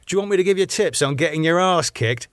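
A man's voice: a little high, expressive, fast, dry recording, studio quality, pitched above the performer's own voice, lightly acted. A man reading an audiobook, voicing an agitated, defiant man who sounds haunted and bitterly sarcastic. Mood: angry, accusing. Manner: stammering, sweary, snapping outbursts. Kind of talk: audiobook character dialogue.

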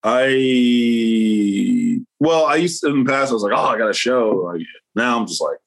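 A man's voice: mid-range voice, expressive, unhurried, vocal fry. A man, a painter, talking casually as an interview guest, rambling with lots of fillers and restarts.

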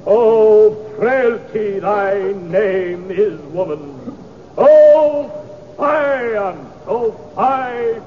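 A terrible, bombastic tragedian in a 1950s radio comedy western. His voice is pitched high, and he declaims very slowly and melodramatically. The old recording is muffled. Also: lively intonation, exaggerated voice. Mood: anguished, melodramatic. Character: hammy, bombastic bad stage actor playing Hamlet.

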